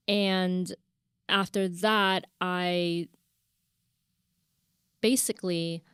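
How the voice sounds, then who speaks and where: A woman born in the Philippines, telling her life story as an interview guest. Her voice is smooth, clear and slightly low, and she speaks softly in a wandering, candid way with plenty of fillers. The sound is dry and close. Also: dry recording. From Philippines, raised in Virginia, USA. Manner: soft-spoken, rambling, frequent fillers and self-corrections. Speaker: guest, business founder.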